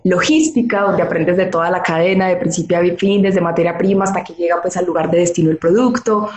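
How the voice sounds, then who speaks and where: A woman's voice: on the low side, steady tone, fairly quick, a little mellow. Colombian woman guest, chatty with lots of ums, echoey call audio. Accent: Colombian Spanish. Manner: chatty, storytelling, frequent fillers and restarts. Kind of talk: interview over video call. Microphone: video call.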